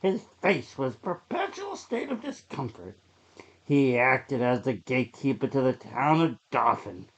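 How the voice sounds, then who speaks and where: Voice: medium pitch, very animated, a little slow, husky, low-quality recording. A narrator reading a scripted horror short story aloud.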